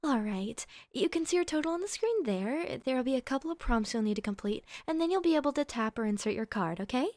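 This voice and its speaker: A woman's voice: high voice, expressive, quick pace, hardly any pauses, darkish tone, no echo. A woman playing a polite, chatty cashier in a roleplay audio, perky and accommodating with customer-service courtesy. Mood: friendly. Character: polite, chatty young female shop cashier.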